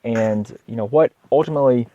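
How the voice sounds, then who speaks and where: A slightly hoarse man with a cold hosts an informal health podcast as a doctor, speaking haltingly, repeating words and often clearing his throat. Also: mid-range voice, warm tone, dull audio. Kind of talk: informal educational health monologue.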